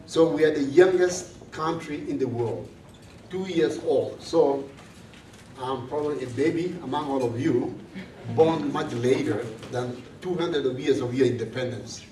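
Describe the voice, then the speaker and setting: A priest from South Sudan, giving a measured, earnest talk to a student gathering. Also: male voice, mid-range voice, dark tone, a little hiss or hum. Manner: slow, measured, earnest speaking. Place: large room on a college campus. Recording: echoey room from far off.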